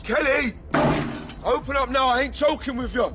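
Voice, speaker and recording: A man's voice: very high voice, normal speed, very noisy. An actor playing a blunt, defensive British police detective, a man who talks colloquially in a naturalistic radio drama. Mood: urgent, alarmed. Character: hot-headed, defensive male police detective, younger colleague.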